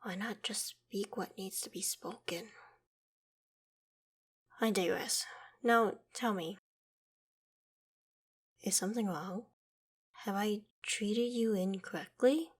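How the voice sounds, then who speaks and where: A woman's voice: medium pitch, slow pace, pauses often, husky. A woman voicing a proud, vain ancient dragon in human form for an ASMR roleplay drama, with formal, haughty, theatrical phrasing. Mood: puzzled, concerned.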